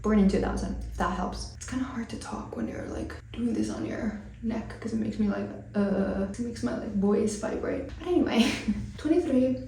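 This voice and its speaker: A woman's voice: a little high, moderate pace, creaky, dark tone, in a whisper, distant mic. Chatty young woman from Finland, roomy sound. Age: 20s. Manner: casual, rambling, with frequent fillers and laughs. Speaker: vlogger. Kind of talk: chatty get-ready-with-me vlog.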